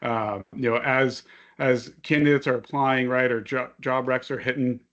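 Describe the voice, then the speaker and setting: Man, panelist, casual halting business commentary, slightly dull lo-fi call audio. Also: mid-range voice, steady tone, fairly bright tone. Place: online webinar call. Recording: dull, band-limited online call audio.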